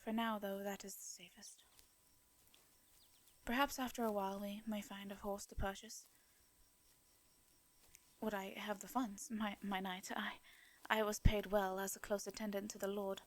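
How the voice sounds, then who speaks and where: A woman with an English accent playing a gentle, courteous vampire in an intimate fantasy audio drama, speaking softly and breathily in formal, hesitant phrases. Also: mid-range voice, flat delivery, natural voice. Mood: earnest, wistful. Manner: soft breathy delivery, formal old-fashioned diction, hesitant pauses. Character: gentle, courteous female vampire, centuries-worn, wistful yet devious.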